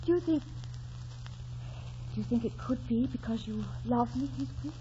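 A woman's voice: fairly high pitch, measured pace, warm tone, lightly acted. An actress playing an impulsive, romantic young woman in love in an old radio drama. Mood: tender pleading. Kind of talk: 1940s radio acting. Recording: muffled old radio broadcast, faint noise.

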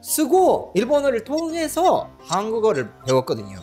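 A man in his thirties from Mexico, a language coach, chatting excitedly on a video call and laughing often. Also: extremely high pitch, normal speed, faint music behind. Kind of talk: casual video chat. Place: home studio room indoors. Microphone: desk mic on arm.

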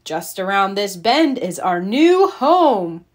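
A woman's voice: medium pitch, big pitch swings, slow. A woman voicing a cheerful, reassuring father bear in a children's storybook, with a hearty, emphatic manner. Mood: proud, hearty. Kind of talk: children's storybook read-aloud.

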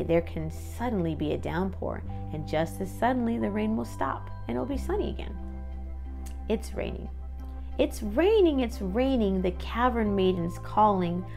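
A woman with a creaky, mid-pitched voice reads rhymes aloud for children in an expressive, sing-song way. She drops in informal asides as host of the read-aloud. Music plays behind her. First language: English.

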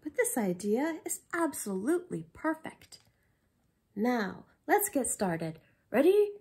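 A woman's voice: fairly high pitch, very animated, slow, a little mellow, slightly rough, full character voice. A woman voicing a confident, self-satisfied inventor from a children's picture book, proclaiming in a showy way. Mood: proud.

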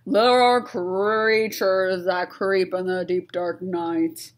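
Storybook male blob monster, female reader: bossy, loud, heavily drawn-out. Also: fairly low pitch, little pitch movement. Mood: stern warning. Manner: drawn-out, heavy ordering.

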